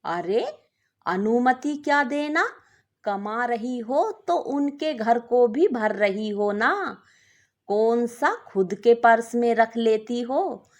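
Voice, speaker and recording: A woman's voice: a little high, expressive, takes it slowly. A woman storyteller voicing a nosy, scornful working woman who exclaims and needles with pity.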